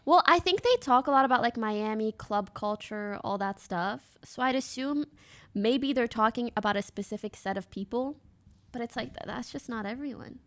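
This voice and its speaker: A woman's voice: on the high side, normal speed, fairly bright tone. A woman in her twenties from Canada, giving blunt, casual commentary on a stream and swearing now and then.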